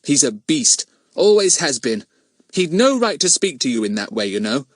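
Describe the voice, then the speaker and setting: A man voicing an earnest, high-pitched teenage boy sleuth. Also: very animated, moderate pace, no room sound, studio quality. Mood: indignant. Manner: well-spoken, persuasive, eager schoolboy phrasing. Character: earnest, confident teenage boy sleuth, polite but cheeky.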